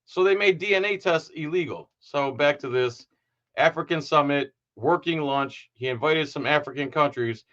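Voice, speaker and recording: A man's voice: a little high, moderate pace, a bit bright, lo-fi sound. Indignant rambling podcast host, man. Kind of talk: casual political commentary.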